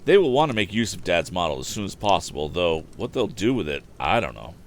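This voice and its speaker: A man voicing a modest, handy young inventor in a storybook, brisk and matter-of-fact. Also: low-pitched, big pitch swings. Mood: pondering. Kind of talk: storybook character voice.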